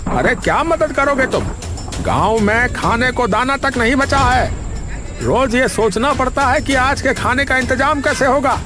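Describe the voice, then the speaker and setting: Bitter, high-strung village headman man acting. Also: a little slow, over music. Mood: angry, despairing. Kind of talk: animated story character acting.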